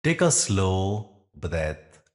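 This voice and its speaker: Male meditation guide: deep register, unhurried delivery, soothing and deliberate, with long pauses between phrases. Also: very animated.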